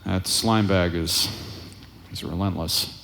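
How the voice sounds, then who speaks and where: An actor in a live audio drama playing a cocky, sarcastic smuggler pilot who swaggers and wisecracks. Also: male voice, low voice, fairly even intonation, slow, gravelly, full character voice. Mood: wry, self-assured.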